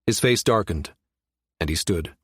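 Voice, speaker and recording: A man's voice: sits low, lively intonation, measured pace, husky, dry recording, clean studio sound. A man reading a science fiction audiobook as scripted narration.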